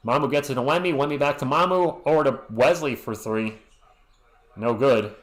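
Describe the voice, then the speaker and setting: A man's voice: medium pitch, moderate pace. A man from Western Massachusetts calling a basketball game play by play on a live stream, chatting with viewers and cracking jokes between plays. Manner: chatty, answers chat messages with joking asides. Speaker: sports stream host. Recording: roomy echo.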